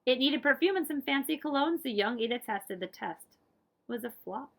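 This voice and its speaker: Female children's librarian for picture-book reading: lively, swooping delivery, creaky edges, theatrical emphasis. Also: sits high, normal speed. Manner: expressive, dramatic emphasis, drops to whispers. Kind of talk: animated storybook read-aloud.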